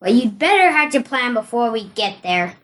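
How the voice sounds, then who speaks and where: A woman's voice: a little high, big pitch swings, moderate pace, a bit bright. A woman playing a weary, sarcastic male monk who grumbles drily in an amateur audio play. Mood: weary, dry.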